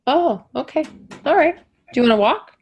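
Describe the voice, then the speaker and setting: A woman host and coach on a video call, speaking in a gentle, soothing, reassuring way while coughing from a cold. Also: sits high, lively intonation, normal speed. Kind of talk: casual conversation over a call.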